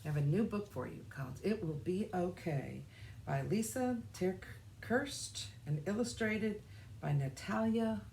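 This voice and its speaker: A woman with a fairly low voice narrates a children's picture book. She takes her time, phrasing expressively and deliberately. Some noise sits in the background. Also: lively intonation, gravelly, a little mellow. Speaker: children's music director reading a storybook. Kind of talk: scripted children's storybook narration.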